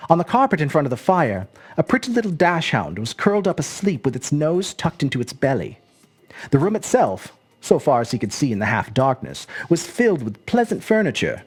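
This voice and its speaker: An expressive storyteller reads scripted fiction in a husky voice. The pitch moves dramatically across a very wide range, with measured phrasing over faint music. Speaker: storyteller, host. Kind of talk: scripted narration of a short story.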